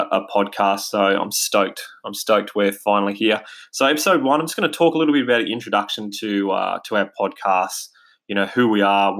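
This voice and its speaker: A man's voice: lowish voice, fairly bright tone. Upbeat Australian man hosting a podcast. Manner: upbeat and eager, loose with fillers and restarts. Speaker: podcast host. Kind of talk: casual solo podcast monologue. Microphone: home podcast mic, basic quality.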